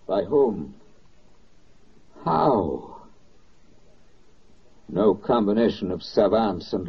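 A man's voice: fairly low pitch, husky, heavily acted. A man performing as a solemn, poetic narrator in a 1940s wartime radio drama, with an oratorical delivery. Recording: muffled old 1940s radio recording.

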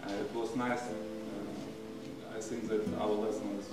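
A man's voice: on the low side, little pitch movement, slow, far from the mic. A middle-aged man, not a native English speaker, giving a hesitant graduation speech full of fillers and simple phrasing. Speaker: graduating student. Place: large stone-walled hall indoors. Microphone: far camera. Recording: echoey hall with loud music over it.

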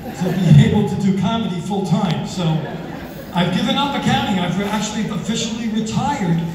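A man in his sixties doing a stand-up comedy routine on a theater stage, with a deadpan, self-mocking delivery. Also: high voice, fairly quick, heavy background noise. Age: 60s. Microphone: handheld mic, filmed from far in the audience. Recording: echoey hall with crowd, from far off. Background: audience laughter and applause.

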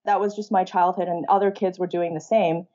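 A woman's voice: lowish voice, steady tone, a little fast, mellow, muffled recording. A woman in her thirties, raised in China, talking openly about her early life as an interview guest. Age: 30s. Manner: open, conversational storytelling. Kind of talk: casual interview talk.

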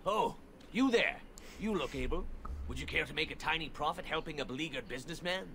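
An actor playing a greedy, blustering and wheedling merchant in a video game. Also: male voice, a little high, lively intonation, fairly quick, vocal fry, fairly bright tone, slight background noise. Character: greedy, defensive male merchant, self-pitying profiteer.

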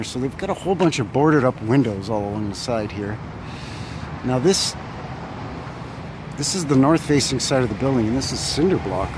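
Man musing aloud on a windy street. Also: medium pitch. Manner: musing, unsure, thinks aloud with many fillers. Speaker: vlogger exploring old buildings. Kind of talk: casual walking narration. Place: outdoors on a windy city street in winter. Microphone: handheld phone camera. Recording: wind and street noise behind.

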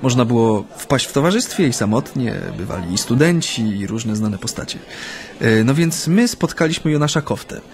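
A man's voice: mid-range voice, lively intonation, normal speed, a little mellow, lightly acted. An actor playing a genial Japanese man reminiscing hesitantly and reflectively about his life, in a conversational radio drama. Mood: amused, reminiscing. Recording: studio radio play with music.

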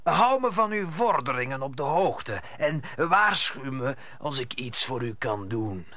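Slow, commanding musketeer captain. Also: male voice, mid-range voice, big pitch swings, pushed and bright, no echo. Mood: formal, probing. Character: grave, authoritative male captain of the royal musketeers. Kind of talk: audio drama acting.

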